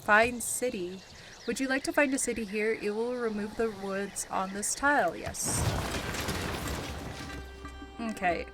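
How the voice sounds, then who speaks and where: A woman streamer calmly thinking aloud as she plays, keeping an even tone over game music. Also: on the high side, a little slow, pauses often. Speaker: gameplay streamer. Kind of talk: casual gameplay commentary.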